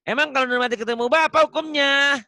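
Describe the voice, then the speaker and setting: A man's voice: very high voice, fairly even intonation, fast, sharp tone, no echo, studio quality. A man teaching Quran recitation online, patiently drilling pronunciation and laughing often. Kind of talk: online tajwid lesson.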